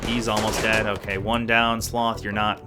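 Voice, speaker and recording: Man, low-ish voice, casual rambling gameplay talk, game music playing under him. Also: level tone, normal speed. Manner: chatty, rambling, thinks aloud. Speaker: let's play gamer.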